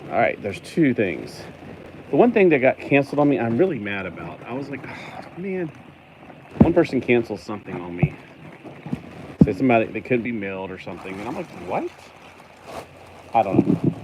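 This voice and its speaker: A man's voice: medium pitch, heavy background noise. An American man chatting casually through an unboxing vlog, rambling and playful, as if half talking to himself. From United States. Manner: rambling, playful, talks to himself, acts out imagined replies. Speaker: toy collector unboxing mail on a vlog.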